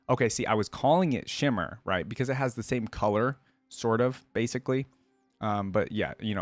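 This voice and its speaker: A man hosting a reaction video, reading aloud and thinking out loud in a casual, conversational way. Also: on the low side, dry recording.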